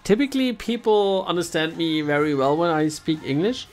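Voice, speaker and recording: German native man streaming games, casual halting chat, soft music behind. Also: on the high side, expressive. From Germany, Bavaria. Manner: hesitant, restarts sentences, chuckles often. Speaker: game streamer.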